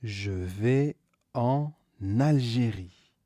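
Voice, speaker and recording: A man teaching a scripted beginner grammar lesson, slowly repeating each key word and stressing it with a rise. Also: mid-range voice, expressive, dark tone, dry recording, clean studio sound. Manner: slow, deliberate, repeats key words with stressed rises. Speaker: French teacher.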